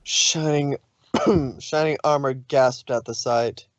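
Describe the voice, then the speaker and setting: A man's voice: medium pitch, slow, no echo, muffled recording. A man narrating fan fiction in a dramatic storytelling way, sometimes stumbling over his words.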